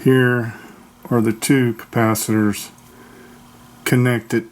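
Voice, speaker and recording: A man giving a casual, unscripted hands-on repair demonstration, halting as he thinks aloud. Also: mid-range voice, little pitch movement. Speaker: hobbyist radio restorer demonstrating a repair. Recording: clearly noisy amateur video sound.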